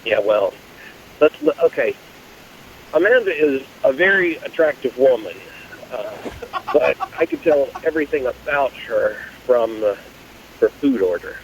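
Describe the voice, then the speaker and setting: Guy calling on the phone, medium pitch, dry and fed up, little hiss. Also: lively intonation, measured pace, pauses often, lo-fi sound. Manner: dry, exasperated storytelling. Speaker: phone-in guest. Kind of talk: casual phone conversation. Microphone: telephone.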